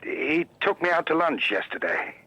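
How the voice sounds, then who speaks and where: An actor playing a flustered, sheepish garden designer in a radio drama, hesitant and rambling. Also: male voice, fairly high pitch, big pitch swings, moderate pace, bright, forceful tone, husky, dry recording, exaggerated voice, poor audio.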